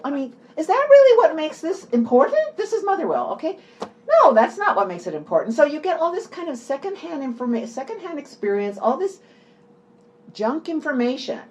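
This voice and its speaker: A woman's voice: high voice, wildly swooping pitch, brisk, darkish tone. An older woman speaking off the cuff as an author at a book talk, informal and digressive, stressing and repeating her words. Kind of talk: unscripted conversational book talk.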